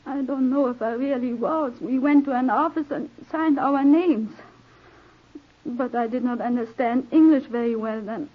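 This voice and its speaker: A woman's voice: sits high, normal speed. A woman playing a frightened, devoted young immigrant in a 1940s radio drama, speaking earnestly and carefully, with a pleading manner. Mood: anxious, subdued. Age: 20s. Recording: tinny, phone-like vintage radio recording.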